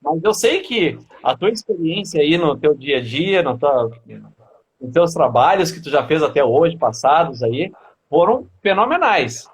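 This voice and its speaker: Husky, high-voiced male live-stream host. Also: big pitch swings, a little slow. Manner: welcoming, greets viewers by name. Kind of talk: hosting a motivational live stream.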